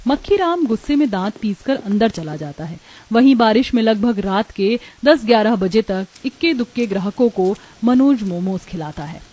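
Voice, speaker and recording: A woman's voice: mid-range voice, expressive, normal speed, few pauses, mellow. A woman narrating a scripted cartoon story as its storyteller.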